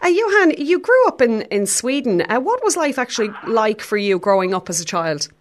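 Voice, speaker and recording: A woman's voice: a little high, very animated, moderate pace, slight background noise. A woman hosting a radio business show, asking her guest questions in a friendly way with small restarts. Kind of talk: radio interview.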